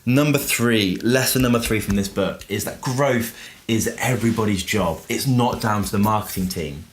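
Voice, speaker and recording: A man's voice: on the low side, moderate pace, slight background noise. A man giving an informal review in an explanatory way, using everyday examples and questions. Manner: explanatory, uses everyday analogies and rhetorical questions. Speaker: book reviewer and software business owner. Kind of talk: informal book review to camera.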